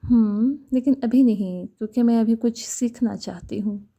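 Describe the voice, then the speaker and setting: A woman's voice: a little high, normal speed, mellow. A woman voicing a hesitant, guarded young woman in a romantic story, answering curtly. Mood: wary, curt.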